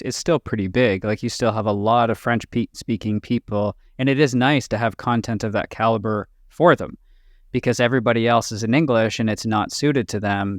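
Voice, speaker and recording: A man's voice: mid-range voice, no echo, clean studio sound. A man hosting a podcast interview in a casual style, asking loose, rambling questions. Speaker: podcast host.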